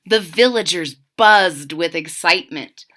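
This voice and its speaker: Female storyteller for kids, slow and bright, lots of melody. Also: on the low side. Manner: gentle, explanatory, animated storytelling. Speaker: teacher reading aloud. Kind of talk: children's read-aloud with commentary.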